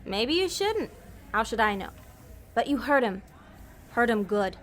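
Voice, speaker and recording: An actress plays a bossy female schemer from Hell in an audio comedy. Her voice sits a bit high, and she is brisk and persuasive, with an exasperated edge. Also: very animated. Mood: manipulative. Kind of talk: comedic audio drama acting.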